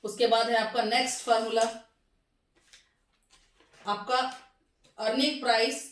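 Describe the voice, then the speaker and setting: A woman's voice: on the high side, fairly even intonation, distant mic. Lady teacher, scratchy sore throat, asks then answers her own questions, roomy echo. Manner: slows down to spell out English terms. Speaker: economics teacher. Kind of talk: classroom lecture. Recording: echoey room, voice from off mic.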